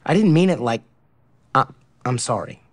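A man's voice: mid-range voice, animated, normal speed, natural voice. An actor playing an easygoing, cocky, slightly dim male athlete in a romantic comedy audio drama, casual and nonchalant. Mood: sheepish, unbothered.

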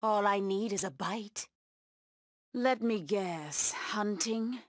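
Woman playing feline huntress villain, slow deliberate pace, menacing, haughty, bloodthirsty, dry close sound, fighting-game taunts. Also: mid-range voice, no echo, close to an everyday voice. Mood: menacing, predatory. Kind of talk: video game voice acting, short combat taunts.

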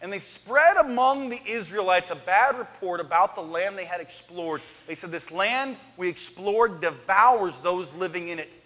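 A man in his thirties preaching an animated sermon on a church stage, swinging from loud to hushed. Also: sits high, normal speed, few pauses. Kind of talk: lively sermon. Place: church sanctuary, large, indoors. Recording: echoey church hall.

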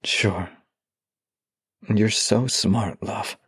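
A man in a close-miked audio roleplay, speaking softly and affectionately with a teasing edge, as a voice actor addressing a loved one. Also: fairly low pitch, fairly even intonation, very slow, slightly rough, no echo.

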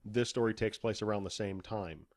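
A man's voice: on the low side, normal speed, darkish tone, no echo. A man talking casually as an author guest in an interview, explaining things with acted-out examples.

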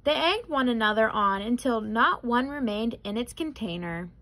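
A young adult woman reading a children's picture book aloud in an expressive, careful way. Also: on the high side, moderate pace. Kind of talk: children's storybook read-aloud.

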